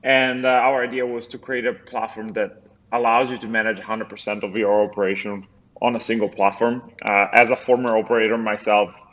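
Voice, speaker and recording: A man's voice: fairly low pitch, little pitch movement, brisk, fairly bright tone, highs cut off. A man with an Italian accent, a company founder who is a guest on a podcast, speaking thoughtfully with many hesitations over a remote call. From Italy. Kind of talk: casual interview chat.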